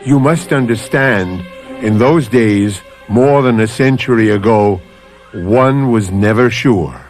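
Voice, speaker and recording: A man hosting a mystery radio show with scripted dramatic narration, deliberate and suspenseful, addressing the listener directly. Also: on the low side, darkish tone. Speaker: host. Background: music.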